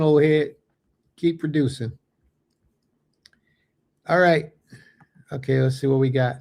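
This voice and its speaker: A man in his late thirties with a gravelly, mellow voice, hosting a faith livestream. He chats casually with his viewers, reading their comments aloud and answering each one. He sprinkles Hebrew blessings through his talk. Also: mid-range voice. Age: late 30s. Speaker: host of a religious fellowship livestream.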